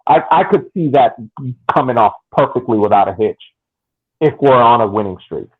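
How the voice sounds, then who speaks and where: A man's voice: mid-range voice, animated, moderate pace, husky. Guy on a tinny call line, thoughtful soccer breakdown, repeats himself for emphasis. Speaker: podcast co-host. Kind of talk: casual soccer analysis chat.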